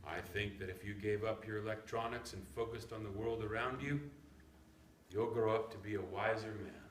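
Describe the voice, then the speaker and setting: A man's voice: fairly low pitch, steady tone, moderate pace, lightly acted. An actor in a staged play reading, playing a teasing, nostalgic country grandfather with a folksy manner. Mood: gently earnest. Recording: echoey room, background noise.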